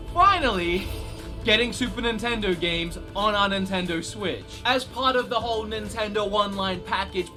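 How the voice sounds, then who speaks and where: A gaming commentator delivering game news in a wry, sarcastic, animated way. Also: medium pitch.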